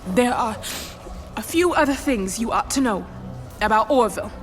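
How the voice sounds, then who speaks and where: A woman playing a sharp-tongued, defiant small-town scientist with a folksy, commanding manner in an audio drama. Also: a little high, animated, moderate pace, soft background music, lightly acted. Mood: confiding.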